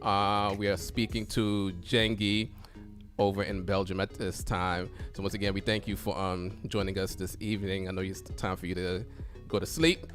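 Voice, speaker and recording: A man's voice: low-pitched, flat delivery, normal speed, a bit bright, faint music behind. A man hosting a live radio show, talking informally with a guest and often circling back to thanks and recaps.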